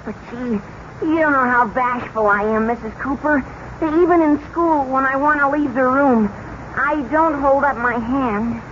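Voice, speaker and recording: Through a muffled, noisy old radio recording comes a painfully shy teenage boy from a radio comedy. His voice is very high, and he gushes and hesitates with lovestruck earnestness. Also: measured pace. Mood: shy, earnest. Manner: gushing, hesitant, bashful. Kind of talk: 1950s radio comedy acting.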